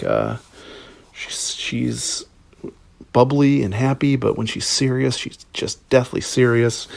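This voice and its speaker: A man from Minnesota giving a casual spoken review in a halting, hesitant way, in broken phrases. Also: fairly low pitch, creaky, darkish tone, husky, no echo. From Minnesota, United States. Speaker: listener sending in episode feedback.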